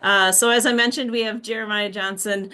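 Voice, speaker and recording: A woman with an Upper Midwest American accent opens an online session in a cheerful, welcoming way. Her voice is bright and crisp at a middle pitch. She speaks from an office. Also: steady tone, brisk. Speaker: director of professional development, webinar introducer. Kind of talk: webinar welcome. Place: office in Madison.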